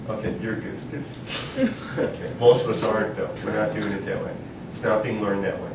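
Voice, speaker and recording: A man with a fairly low voice gives a chatty, joking lecture and keeps turning questions to the class. He is heard in a roomy classroom from some distance, with a steady rumble underneath. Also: a little fast. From New York. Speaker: seminar leader. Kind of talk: informal lecture to students.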